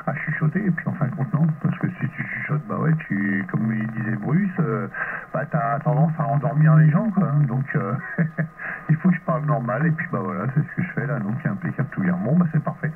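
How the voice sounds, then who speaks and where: A man chatting casually over amateur radio in a rambling, hesitant, colloquial way. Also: mid-range voice. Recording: muffled lo-fi radio signal, clear noise.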